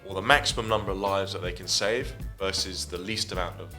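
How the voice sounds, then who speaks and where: Man, deep pitch, forceful tone, casual podcast chat, digressive explainer, faint background music. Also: a little fast. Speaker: podcast co-host. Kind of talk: casual chat, retelling at length.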